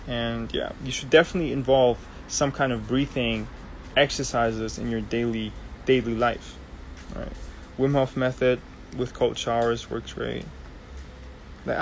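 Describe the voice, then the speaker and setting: A man's voice: medium pitch, a little hiss or hum, poor audio. A young man from Germany, hosting a casual live Q&A and explaining things loosely with many ums and restarts. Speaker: host. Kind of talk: casual live Q&A, answering viewer questions.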